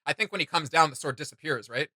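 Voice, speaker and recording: A man's voice: on the high side, fast talker, bright, forceful tone, no room sound, clean studio sound. A man hosting a casual fan reaction chat, talking in a rambling, enthusiastic way with playful sound words. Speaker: reaction video host.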